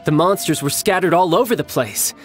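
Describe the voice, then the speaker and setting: A man's voice: fairly high pitch, fairly quick, soft background music, natural voice. An actor playing a playful, boastful young male fighter who relishes combat in a video game, breezy and teasing. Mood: smug playful.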